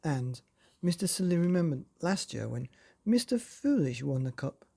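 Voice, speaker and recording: A man narrating a children's bedtime storybook in a playful, expressive way, often putting questions to the listener. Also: on the high side, normal speed, creaky.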